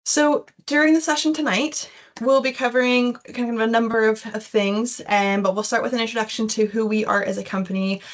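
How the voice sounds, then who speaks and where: A woman's voice: a little high, fairly even intonation, brisk. A young adult woman with a Canadian accent, presenting an informal webinar in a chatty, loosely phrased way. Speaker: webinar host, education recruitment consultant.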